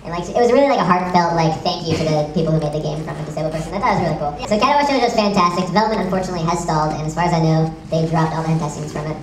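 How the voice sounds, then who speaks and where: A woman's voice: low-pitched, very fast, few pauses, a crowd in the background. A young woman presenting informally at a fan convention panel, rambling, with giggles and casual swearing. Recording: echoey room, distant mic, audience noise. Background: audience.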